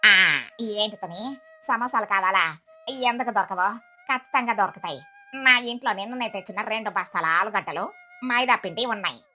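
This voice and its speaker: A woman voicing a confident, generous crow who reassures friends warmly in a cartoon story. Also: mid-range voice, moderate pace. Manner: warm, reassuring. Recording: music behind the voice.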